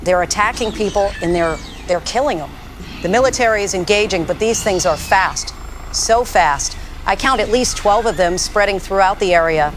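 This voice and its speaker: A woman playing a shaken TV news correspondent in an audio drama, reporting live in a halting way. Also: medium pitch, slight background noise, natural voice. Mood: shocked, disbelieving. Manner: halting, stammering, disbelieving. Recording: faint vehicle and outdoor ambience.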